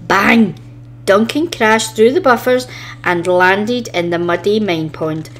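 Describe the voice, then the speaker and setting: A woman reads a children's storybook aloud. Her voice sits at a middle pitch, and she gives the scripted narration plenty of expression for young listeners. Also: slow, a bit bright, a little hiss or hum.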